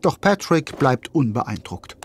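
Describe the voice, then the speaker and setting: A man's voice: medium pitch, very animated, slow, husky, heavily acted. A man narrating a children's audio play in a wry storytelling manner, speaking to the listener.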